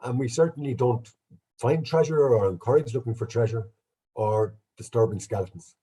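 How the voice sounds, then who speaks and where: An Irish archaeologist giving a relaxed, chatty online lecture with slides, sounding bunged up with a cold. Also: male voice, mid-range voice, moderate pace, slightly rough. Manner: relaxed, chatty, self-deprecating. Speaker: guest speaker, archaeologist. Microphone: video call.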